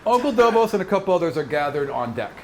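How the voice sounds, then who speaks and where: A man's voice: on the high side, very animated, fast, crowd noise. A man narrating a tabletop role-playing game as game master, informal and conversational, swearing casually. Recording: noisy room recording.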